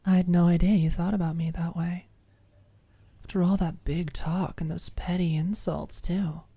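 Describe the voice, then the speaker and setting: A woman voicing a cocky, mischievous female college student in an audiobook, blunt, sardonic and quick to get defensive. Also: on the low side, level tone, dark tone, husky, dry recording. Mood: teasing.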